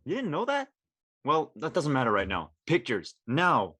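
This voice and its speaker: A man acts out a conceited, bossy teenage boy in an audio drama. The voice is sharp and rough-edged, swinging in pitch with impatient, boastful delivery. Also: huge pitch swings, moderate pace, no echo, exaggerated voice. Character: Kellen, vain, bossy teenage high school boy. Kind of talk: conversational acting in an online audio play.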